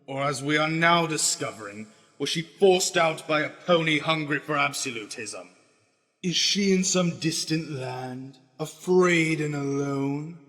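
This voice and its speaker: A man plays a fiery pony demagogue in a radio drama, with a forceful, bright voice ringing through a long, reverberant hall. He speaks in rhetorical, rousing oratory, rallying citizens against a tyrant. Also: fairly high pitch, unhurried. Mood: accusing, fervent. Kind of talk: radio drama political speech.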